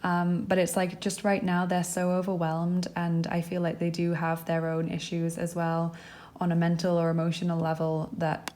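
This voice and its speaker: A young woman with a croaky, dry throat giving a pick-a-card tarot reading at a table indoors, soft and reassuring with many fillers. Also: lowish voice. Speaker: tarot reader.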